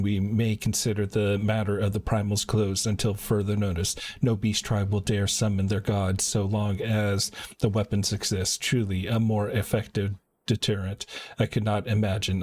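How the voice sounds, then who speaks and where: A man's voice: on the low side, breathy edge. A man live streaming a game, giving casual commentary and reading dialogue aloud.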